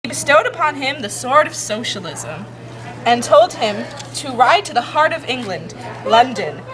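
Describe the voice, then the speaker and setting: A woman's voice: fairly high pitch, sing-song, normal speed, fairly bright tone, a faint crowd. A woman narrates a puppet show in a scripted fairy-tale storytelling style, on an amateur recording. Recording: clearly noisy amateur recording.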